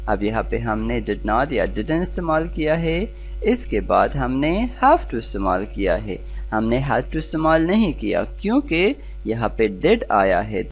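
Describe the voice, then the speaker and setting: A grammar teacher with a Pakistani accent, explaining rules and reading out example sentences in a deliberate, instructional way. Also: medium pitch.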